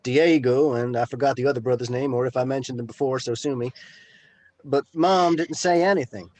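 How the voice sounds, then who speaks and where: A man playing a calm, understanding younger brother in an amateur script read-through. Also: medium pitch, brisk, natural voice. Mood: wry. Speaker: table read participant.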